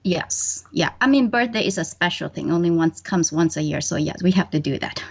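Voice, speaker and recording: A woman's voice: lowish voice, lively intonation, brisk, gravelly, slightly rough. A middle-aged woman from Indonesia, raised in Malaysia, answering interview questions hesitantly with many ums. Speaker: bookkeeper and guest. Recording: dull lo-fi audio.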